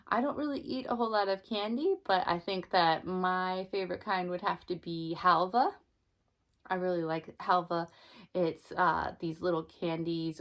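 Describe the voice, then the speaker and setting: Brief: young mom reading a storybook aloud, gentle and expressive, slightly low-pitched voice. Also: female voice. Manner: gentle, expressive, talks to the child. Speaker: mother reading to her child. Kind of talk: children's book read-aloud and chat.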